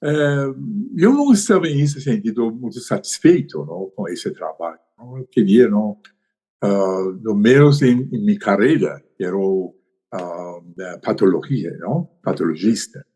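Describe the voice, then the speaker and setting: An older man tells his story as a podcast guest. His voice is mellow and dark, and his pitch moves up and down a lot. He talks with many pauses, fillers and tag questions. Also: lively intonation. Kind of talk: conversational storytelling.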